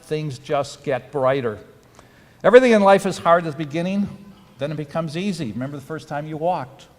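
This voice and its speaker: A man's voice: on the high side, animated, a little fast. A man as a dental school dean giving an earnest, advice-filled ceremony speech. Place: auditorium. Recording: reverberant hall.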